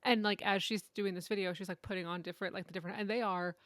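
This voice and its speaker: A woman's voice: medium pitch, steady tone, racing, fairly bright tone, dry recording. Informal woman podcast co-host, close dry sound. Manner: informal and conversational, often giggly. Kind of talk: casual chat.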